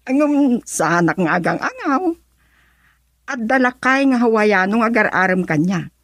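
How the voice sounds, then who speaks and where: An actress playing a lively woman friend in an Ilocano radio love drama, with an Ilocano accent and an expressive, husky delivery. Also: a little high, moderate pace, dry recording, clean studio sound. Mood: lively, friendly. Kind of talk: Ilocano radio drama acting with narrated inner thoughts.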